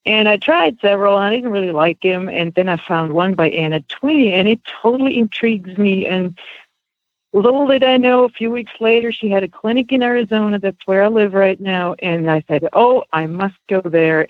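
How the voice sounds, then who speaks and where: A woman's voice: medium pitch, no echo. Female guest, casual storyteller, dry narrow telephone sound. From Switzerland. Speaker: interview guest, horse-training student. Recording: band-limited phone line.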